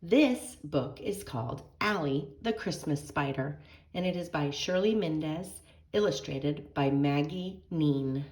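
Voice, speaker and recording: A woman storyteller with a low-pitched voice. She reads a children's picture book aloud expressively, in a teacherly manner. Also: animated, unhurried, a little mellow.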